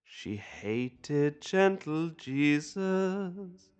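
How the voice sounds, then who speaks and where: An actor playing a fervent, dignified asylum lunatic in an audio drama, formal, courteous and pleading. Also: male voice, fairly high pitch, animated, very slow, a little mellow, clear, resonant tone. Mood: wistful singing. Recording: long reverberant hall.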